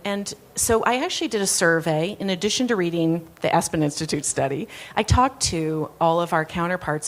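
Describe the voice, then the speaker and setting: A woman answering an audience question on a conference panel, thoughtful and fluent with occasional restarts. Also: on the low side. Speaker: foundation executive director. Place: conference stage.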